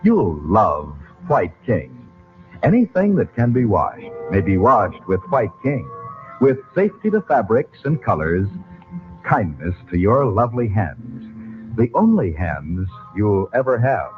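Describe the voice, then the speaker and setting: A man delivering a polished sponsor pitch as an old-time radio announcer. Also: sing-song, measured pace. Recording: tinny old radio recording with music. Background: music.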